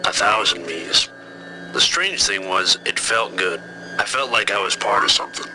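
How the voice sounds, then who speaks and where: A man's voice: fairly low pitch, moderate pace, lightly acted. A man playing an entranced, uneasy starship crewman, musing wistfully in a sci-fi game audio log. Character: uneasy male crewman entranced by a strange dream. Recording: noisy shipboard log with hum.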